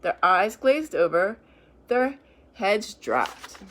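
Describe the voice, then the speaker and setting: A woman reading picture books aloud to young children, pausing to explain things in simple, reassuring words. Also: medium pitch, very animated, fairly bright tone.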